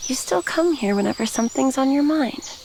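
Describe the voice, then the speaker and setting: A woman playing a gentle, dutiful noble elder sister in an anime-style game, polite and composed. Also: high-pitched, lively intonation, fairly quick, gravelly, background noise.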